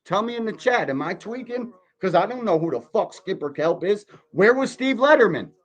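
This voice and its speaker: A man in his forties with a fairly high voice, ranting on a livestream. He talks bluntly and emphatically, swearing freely and throwing out rhetorical questions. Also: big pitch swings, moderate pace. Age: 40s. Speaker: podcast host reacting to a video. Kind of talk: heated, profane livestream commentary.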